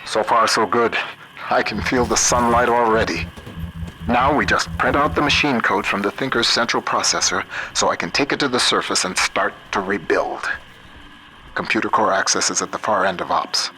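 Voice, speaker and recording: A man's voice: fairly low pitch, normal speed, noisy background, close to an everyday voice. An actor playing a practical, determined male scientist in a video game, guiding an ally in a focused, businesslike way. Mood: hopeful. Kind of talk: naturalistic video game acting.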